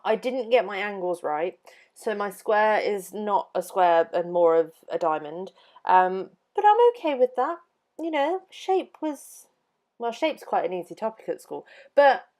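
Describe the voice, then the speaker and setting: A woman's voice: mid-range voice, a little mellow. A young adult woman with an English accent, chatting casually through a papercraft tutorial voiceover with plenty of ums. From England. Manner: chatty, lots of ums, laughs easily. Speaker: papercraft YouTuber.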